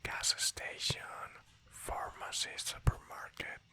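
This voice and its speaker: Whispering language teacher. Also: slow pace. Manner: soft whisper, repeats words in pairs, calls listeners class. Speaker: Spanish teacher. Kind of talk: whispered ASMR language lesson.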